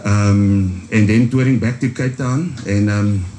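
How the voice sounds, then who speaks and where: Hesitant Afrikaans-accented man, low mellow voice. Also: measured pace. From South Africa. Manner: hesitant, many ums and restarts. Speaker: musician guest. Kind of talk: casual radio interview. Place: radio studio.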